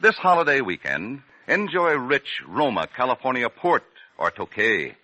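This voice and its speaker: A man announcing a 1940s radio advertisement, polished and emphatic with deliberate phrasing. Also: mid-range voice, sing-song, pushed and bright, no echo, low-quality recording. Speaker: radio announcer and sponsor spokesman. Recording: dry vintage 1940s radio recording.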